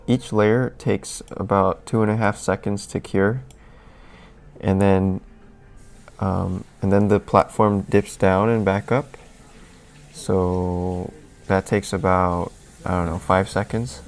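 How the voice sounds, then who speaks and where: A man hosting a live stream, chatting casually and rambling as he answers questions from chat, a little sniffly. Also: low-pitched. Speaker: livestream host.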